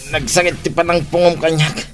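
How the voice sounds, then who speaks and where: A man's voice: fairly high pitch, level tone, a little fast, over music, lightly acted. An actor playing a cornered, fearful man in an Ilocano radio drama. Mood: begging, fearful. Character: cornered, fearful man pleading for his life.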